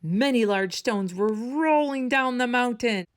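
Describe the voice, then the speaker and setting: A woman reads aloud for children in a storytime style. Her voice is fairly high and very animated in pitch, and she takes her time, leaning into dramatic emphasis. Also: measured pace. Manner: dramatic emphasis, asks listeners questions. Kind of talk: expressive read-aloud narration for children.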